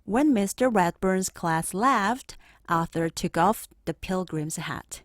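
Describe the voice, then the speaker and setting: Animated woman reading a children's storybook. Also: mid-range voice, slow pace, no room sound, clean studio sound. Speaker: storybook narrator. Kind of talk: children's read-aloud narration.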